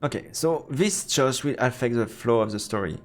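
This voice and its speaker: A man's voice: medium pitch, normal speed. A man streaming a visual novel, reading its narration aloud and adding commentary. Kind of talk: let's play commentary and read-aloud narration. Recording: echoey room with long reverb.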